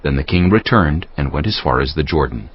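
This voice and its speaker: A man's voice: rumbling low, expressive, a little fast, husky, highs cut off. A man reading scripted Bible narration as a narrator.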